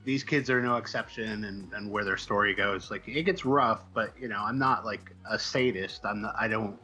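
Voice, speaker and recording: Low, bright-voiced man in casual interview. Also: moderate pace, soft background music, rough recording. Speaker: comic book writer, guest.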